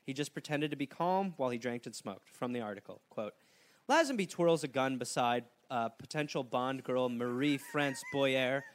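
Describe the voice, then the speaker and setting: A man's voice: medium pitch, moderate pace. A man hosting a comedy podcast, telling a story in a jokey way and slipping into silly voices. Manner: animated, jokey, slips into silly put-on voices. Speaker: podcast host.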